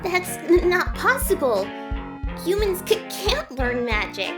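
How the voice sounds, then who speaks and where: A shy, anxious female monster scientist for an audio drama. The voice is tiny and very high. She speaks slowly, tripping nervously over her words, with soft music underneath. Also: very animated, heavily acted. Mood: alarmed. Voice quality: high, girlish voice. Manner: nervous stammering.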